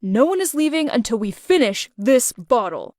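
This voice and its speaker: A woman reading the lines of the male characters in a story, lowering her voice slightly for them. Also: big pitch swings, moderate pace, darkish tone, no echo, studio quality. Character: men of the story: boyfriend, friend, worried father.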